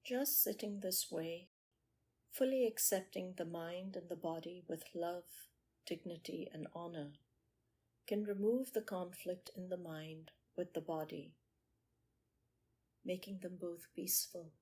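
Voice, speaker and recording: Woman, meditation teacher, very unhurried delivery, husky tone, short measured phrases, pauses, instructional. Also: on the low side, fairly even intonation, mellow. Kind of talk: guided meditation.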